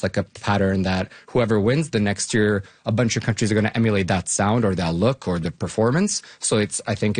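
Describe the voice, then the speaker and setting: Low-voiced man in a dry interview. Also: darkish tone, breathy edge, no echo. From Bosnia-Herzegovina. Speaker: academic guest, longtime fan of the topic. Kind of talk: conversational interview answers.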